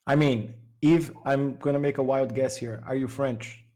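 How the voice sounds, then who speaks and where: Man, Portuguese speaker, medium pitch, informal open-stage host on a voice call. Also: steady tone, moderate pace, warm tone. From Brazil. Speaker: participant leading an open-stage livestream. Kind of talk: casual group chat. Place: remote voice call.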